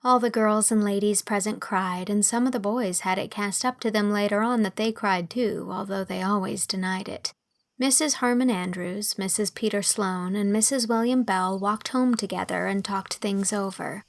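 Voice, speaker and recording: A woman's voice: mid-range voice, normal speed, gravelly, no room sound. A woman reading scripted storybook narration as an audiobook narrator.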